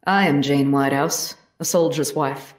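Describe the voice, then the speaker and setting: A woman's voice: low-pitched, steady tone, moderate pace, natural voice. A woman acting a plain-spoken, devout soldier's wife testifying firmly and formally in a historical courtroom drama. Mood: firm, earnest. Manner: firm, formal, period phrasing. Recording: roomy echo, distant mic.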